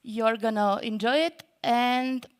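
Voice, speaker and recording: A woman's voice: fairly high pitch, steady tone, slow pace, smooth. A middle-aged woman from Romania giving a nervous, self-deprecating keynote talk on a large event stage, with many fillers. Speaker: conference speaker.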